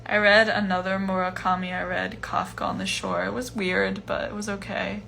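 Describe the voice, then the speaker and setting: A young woman in her twenties answering fan questions in a casual livestream from home, relaxed and chatty with lots of fillers. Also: medium pitch, normal speed, vocal fry, a little hiss or hum. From Iceland. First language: Chinese. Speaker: musician hosting a livestream Q&A. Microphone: phone livestream.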